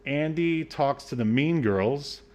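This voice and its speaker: A man's voice: on the high side, takes it slowly, darkish tone. Sardonic man, casual podcast co-host. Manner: sardonic, exasperated, swearing. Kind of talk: casual comedic recap of a film.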